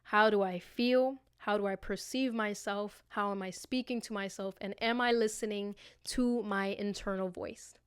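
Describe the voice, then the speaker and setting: Smooth-voiced woman podcasting, very dry sound. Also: mid-range voice, steady tone, moderate pace, hardly any pauses, no room sound. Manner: informal and slangy, swears freely; affectionate direct address to listeners. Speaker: podcast host. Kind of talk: casual, motivational self-reflection podcast monologue.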